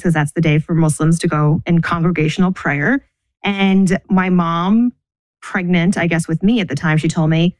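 Measured woman. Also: fairly low pitch, no echo, poor audio. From United States. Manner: thoughtful, measured, restarts mid-sentence. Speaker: interview guest. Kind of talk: reflective personal interview.

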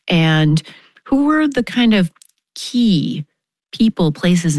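A woman's voice: lowish voice, a little mellow, no room sound. A woman interviewer hosting a conversational interview in a reflective, affirming way. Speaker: interviewer host.

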